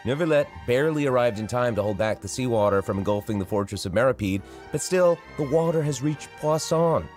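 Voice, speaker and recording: A man's voice: mid-range voice, animated, a little fast, a little mellow. A man presenting a game showcase with scripted banter, music playing behind him.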